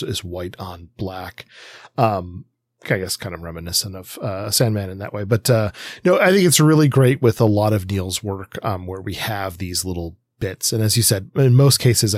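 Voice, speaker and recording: A man with a fairly low voice discusses things casually as a podcast host. He is reflective and hesitant, often feeling his way through a rambling thought. The recording is dry and close. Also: husky, no echo.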